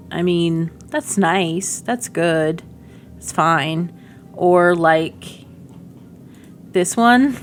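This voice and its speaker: Middle-aged woman, slightly low voice, chatty gaming creator, informal and rambling, faint rumbling noise. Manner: rambling, giggly asides, cutesy slang. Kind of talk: casual, chatty game demo commentary.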